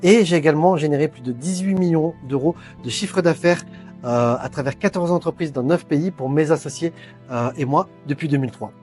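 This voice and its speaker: A middle-aged man giving an earnest sales pitch for a training program, talking straight to the listener and stumbling over frequent fillers. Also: brisk, a little mellow. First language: French. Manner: hesitant, many fillers, earnest persuading. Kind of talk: direct-to-camera sales pitch. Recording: faint background music.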